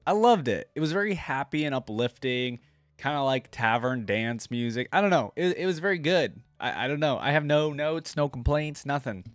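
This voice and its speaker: A man's voice: medium pitch, animated, moderate pace, no echo, clean studio sound. A man giving casual reaction commentary as a host, thinking aloud in short, informal remarks.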